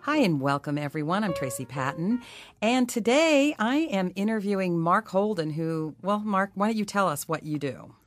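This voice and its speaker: A woman's voice: mid-range voice, animated, moderate pace, faint music behind. A woman hosting a conversational interview in a plain-spoken way.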